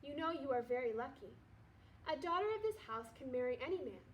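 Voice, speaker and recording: Woman reading as a gentle old grandfather, high-pitched. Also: lively intonation, slight background noise. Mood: curious. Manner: gentle, measured questioning. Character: gentle, dignified old grandfather, head of household. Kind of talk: children's storybook read-aloud.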